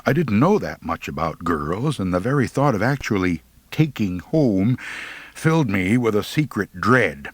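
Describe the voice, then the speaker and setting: Animated low-voiced male storyteller. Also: normal speed, no room sound. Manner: dry, theatrical, mock-pompous delivery. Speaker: audiobook narrator. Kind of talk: scripted humorous first-person storytelling.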